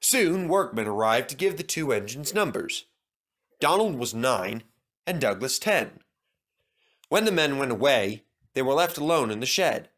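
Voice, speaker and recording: A man's voice: mid-range voice, big pitch swings, a little slow, a bit bright. A man reading a children's railway story as scripted narration.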